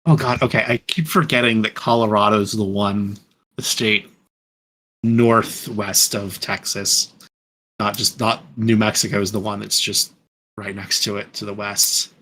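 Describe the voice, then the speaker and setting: Man, low-pitched, bright sharp tone, casual podcast chat, rambling, self-correcting. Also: steady tone, unhurried, low-quality recording. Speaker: podcast panelist and fan dub voice actor.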